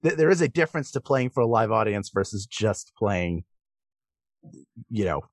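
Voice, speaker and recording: A man's voice: fairly low pitch, animated, normal speed, no echo. Man explaining thoughtfully, close dry sound. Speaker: podcast guest, comedic stage and voice performer. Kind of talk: conversational interview answers.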